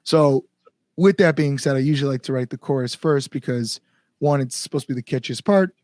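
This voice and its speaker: A man's voice: medium pitch, fairly quick, darkish tone, no room sound, studio quality. A man chatting casually as a musician guest, rambling through stories with lots of likes and acted-out asides. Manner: rambling storyteller, frequent likes, acts out inner thoughts. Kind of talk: casual podcast chat.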